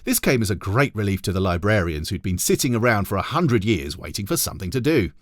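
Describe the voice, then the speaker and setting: A man narrates a children's story in a fairly low voice. His intonation is hugely varied and expressive, and the sound is dry and close. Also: fast talker, dry recording. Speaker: teacher reading aloud to children.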